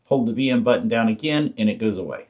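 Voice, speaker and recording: A man's voice: fairly low pitch, animated, fast talker, darkish tone, highs cut off. A man giving a casual hands-on product tutorial, explaining things informally step by step as a reviewer. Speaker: radio reviewer.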